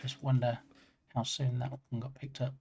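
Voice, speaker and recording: A man's voice: mid-range voice, monotone, moderate pace, gravelly, music in the background. A man streaming live, chatting casually and joking at his own expense. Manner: chatty, self-mocking, rambling. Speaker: game dev streamer.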